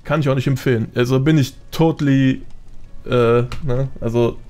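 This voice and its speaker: A man's voice: medium pitch, fairly even intonation, normal speed, mellow. A man talking casually as a podcast guest, colloquial and sprinkled with English gaming slang.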